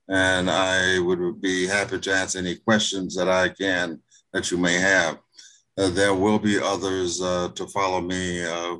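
A man testifying at a hearing over a video call, halting, with many fillers and restarts. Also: deep voice, a bit bright, low-quality recording. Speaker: state representative presenting a bill.

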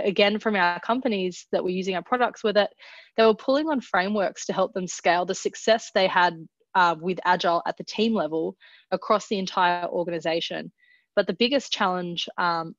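A woman's voice: mid-range voice, no echo, dull audio. A woman from Australia with an Australian accent, a product manager presenting a webinar in an upbeat way, with frequent ums and repeated words.

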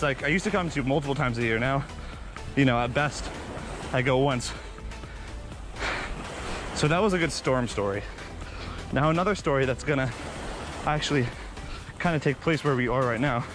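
A young man vlogging outdoors on a beach, telling animated stories while running, slightly out of breath, into a body-mounted camera. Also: fairly high pitch. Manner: animated, excitable storytelling with sound effects. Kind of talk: casual storytelling while jogging. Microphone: body-mounted action camera.

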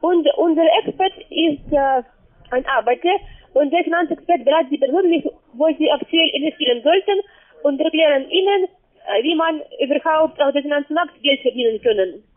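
A high-voiced woman with a foreign accent works through a halting phone sales pitch. She is a non-native speaker with broken grammar. The line is thin and tinny, like a cheap call-center connection. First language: not German.

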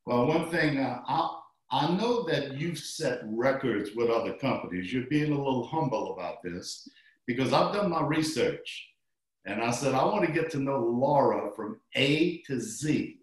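A man hosting a promotional interview from his office, with a booming salesman delivery. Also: animated, unhurried. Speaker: host. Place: office with framed photos. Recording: very echoey room.

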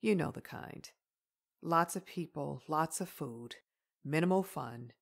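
A woman's voice: deep voice, animated, a little slow, gravelly, full character voice. A woman playing a friendly, chatty young mother in a comic dub.

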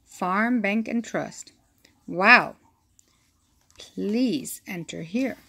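A woman reading a children's picture book aloud, with an expressive storytelling delivery. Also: medium pitch, creaky, slightly rough. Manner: slow, expressive reading with acted-out sound effects.

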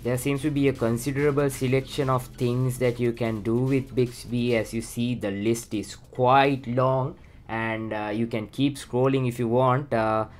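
A man with a Sri Lankan accent talks through a phone setup at his desk in a relaxed, unscripted way. His speech is full of small fillers and "let's go ahead" phrases. A faint music bed sits behind him. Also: mid-range voice, unhurried. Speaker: tech channel host. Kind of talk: casual how-to walkthrough.

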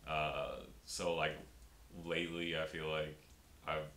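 A man's voice: monotone, takes it slowly. A young man, a stand-up comedian, talking casually in an interview with many fillers and restarts, filmed in a small room. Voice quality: very deep voice. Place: small room before a pink backdrop. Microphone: fixed camera, upper-body framing. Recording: roomy with faint hiss.

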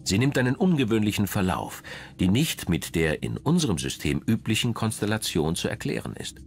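A man's voice: lowish voice, very animated, a little fast, faint music behind. A man reading scripted documentary narration as the narrator.

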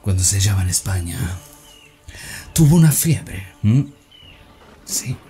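A scornful, envious Roman conspirator in his prime, voiced by a man who declaims with sneers and mockery. The delivery rises and falls widely in pitch. Faint music plays underneath. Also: big pitch swings, measured pace, mellow. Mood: bitter. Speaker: stage actor. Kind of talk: theatrical monologue.

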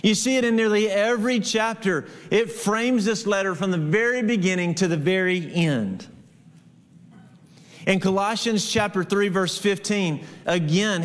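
An American man in his thirties preaching an expository sermon as a pastor, earnest and emphatic, with pauses and rhetorical questions. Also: sits high. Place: church hall with hard floor, small congregation. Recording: reverberant hall sound.

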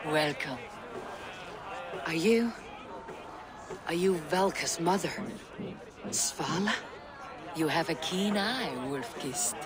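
Game role: measured Viking warrior, higher voice. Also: male voice, lively intonation. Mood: calm. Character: Eivor, steady male Viking warrior, earnest. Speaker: player character. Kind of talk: video game voice acting. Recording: game audio with loud music and crowd.

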